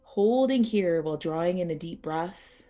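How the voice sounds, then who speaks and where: A woman teaching yoga nidra as a guided meditation, speaking soothingly and unhurriedly in short phrases with pauses. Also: fairly low pitch, animated, mellow, soft background music.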